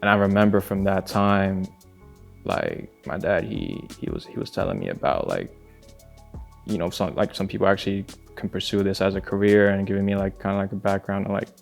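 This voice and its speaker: Low gravelly young man, rambling casually. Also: a little fast, faint music behind. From Spain. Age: young adult. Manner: loose and rambling, many "like" fillers. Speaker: music producer. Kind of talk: casual interview storytelling.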